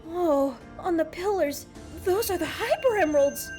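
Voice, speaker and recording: A woman plays a young cartoon fox inventor with a very high voice. The character is earnest and eager, laying out explanations like an excited little expert. Faint music sits behind the dialogue. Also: moderate pace. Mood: amazed, explaining. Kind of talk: animated fan-dub dialogue.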